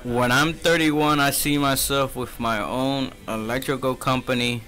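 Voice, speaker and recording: A man's voice: medium pitch, steady tone, a little slow, sharp tone. Teen guy hosting a radio chat, rambly and laughing, faint music underneath. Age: teens. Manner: chatty, laughing, full of fillers. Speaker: youth radio host. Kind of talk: casual youth radio chat.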